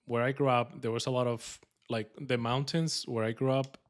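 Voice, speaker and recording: A man's voice: medium pitch, little pitch movement, normal speed. A man from Colombia with a Colombian Spanish accent, hosting a podcast in an upbeat, joking, casual way with many fillers. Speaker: podcast host.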